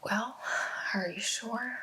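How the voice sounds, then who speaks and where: A woman playing a patient, probing police interrogator in an ASMR roleplay, speaking gently in a hushed near-whisper. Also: on the high side, slow pace, a little hiss or hum. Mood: coaxing. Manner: gentle, methodical, echoing back answers. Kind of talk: soft-spoken ASMR roleplay.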